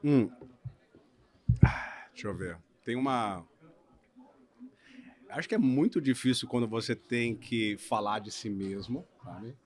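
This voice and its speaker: A man's voice: mid-range voice, takes it slowly, pauses often. Brazilian lawyer guy on a podcast, easygoing storyteller, keeps saying né. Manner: relaxed storytelling, hedging with frequent "né?". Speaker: guest lawyer. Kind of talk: casual podcast interview.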